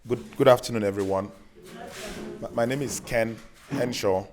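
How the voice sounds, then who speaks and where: A man from Nigeria introducing himself formally at a community meeting, courteous and measured. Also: mid-range voice, darkish tone. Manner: courteous, measured, slightly halting. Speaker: visiting speaker at a community meeting.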